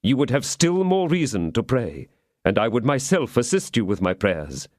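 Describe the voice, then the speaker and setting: A man voicing a grave, upright young soldier who speaks in a measured, serious way. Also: medium pitch, very animated, a little mellow, dry recording, clean studio sound, close to an everyday voice.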